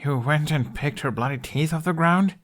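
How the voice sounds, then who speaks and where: A man's voice: fairly high pitch, moderate pace, a bit bright, pitched above the performer's own voice, lightly acted. Man playing an earnest, flustered teenage boy. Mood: bewildered. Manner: stammering, incredulous.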